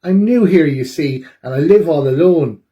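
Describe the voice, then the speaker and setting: A man's voice: a little high, lively intonation, normal speed, highs cut off, voice pushed up, natural voice. A man voicing a lonely, guilty storybook bear with a pleading, confessional manner. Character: sneaky lonely male bear, tearfully confessing and apologetic.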